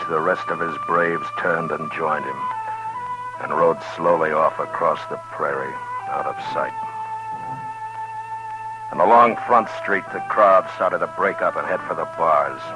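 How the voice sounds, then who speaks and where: A man's voice: a bass voice, rough recording. An actor playing a stern, weary, compassionate frontier lawman in a terse, grave 1950s radio western style. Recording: 1950s radio drama with music.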